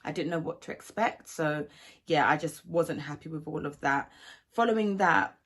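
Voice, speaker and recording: A woman's voice: sits low, moderate pace, husky. A young woman from Ghana, a mother giving a personal testimony of her birth story, speaking earnestly and steadily with frequent ums.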